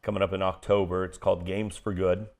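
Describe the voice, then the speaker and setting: A middle-aged American man with a booming baritone, chatting casually as an interview guest. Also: level tone, quick pace, vocal fry. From Kansas City area, United States. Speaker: guest, event MC and voiceover artist. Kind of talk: casual interview chat with stories.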